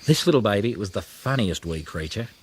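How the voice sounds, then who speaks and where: A man narrating a children's audiobook with expressive storytelling delivery. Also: fairly low pitch, a little fast, slight background noise.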